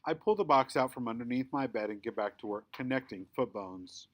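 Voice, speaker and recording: A man reading a children's story aloud in the first person, with expressive, emphatic storytelling. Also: medium pitch, brisk, lo-fi sound. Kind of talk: scripted children's book read-aloud, first-person narration.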